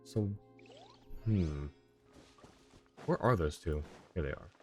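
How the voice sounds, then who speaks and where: Male game streamer, deep voice, casual, wry, rambling, game music underneath. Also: expressive, slow pace, darkish tone. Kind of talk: casual live gameplay commentary, reading dialogue aloud.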